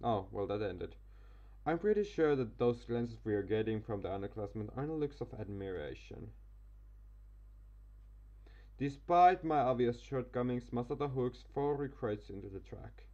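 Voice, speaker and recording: A man's voice: mid-range voice, expressive, moderate pace, mellow, lo-fi sound. A man reading a visual novel aloud on camera, casual and tripping over words. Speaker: gaming youtuber. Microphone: desk mic, webcam.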